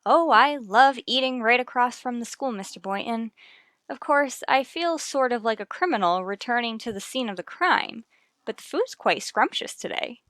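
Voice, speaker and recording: An American actress playing a wry, sardonic schoolteacher with dry delivery in a vintage radio sitcom. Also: female voice, a little high, lively intonation, normal speed, a bit bright, no room sound. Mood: dry, coaxing.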